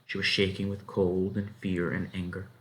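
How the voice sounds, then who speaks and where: A man reading a children's novel aloud as narrator, in an expressive, dramatic way. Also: sits low, normal speed. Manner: dramatic, animated. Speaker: story reader.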